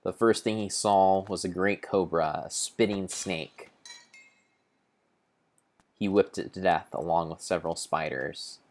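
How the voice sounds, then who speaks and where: A man giving a grave, theatrical, storybook-style narration of video game play, in deliberate phrases with pauses. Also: low-pitched. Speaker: streamer narrating his gameplay.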